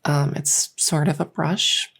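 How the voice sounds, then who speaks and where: A woman playing a gentle, reassuring fairy-dragon clinician in an unhurried, slightly hesitant medical roleplay ASMR. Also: sits low, fairly even intonation, gravelly, husky, full character voice. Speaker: roleplay audio performer.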